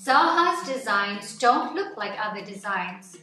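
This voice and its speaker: A woman reading a nonfiction picture book aloud to children in an expressive, deliberate storytelling way, phrase by phrase. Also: on the high side. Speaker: children's story-time reader. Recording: echoey room, distant mic, music underneath.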